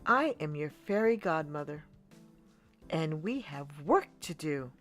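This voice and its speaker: Woman as gentle, low-voiced fairy godmother. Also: lively intonation, slow, faint music behind. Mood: reassuring. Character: kindly magical fairy godmother, purposeful and reassuring.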